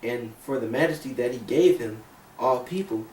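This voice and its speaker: A man's voice: medium pitch, normal speed, darkish tone. A man reading scripture aloud in a small group discussion, rising in emphasis on key phrases. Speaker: scripture reader. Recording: echoey room, distant mic, faint hiss.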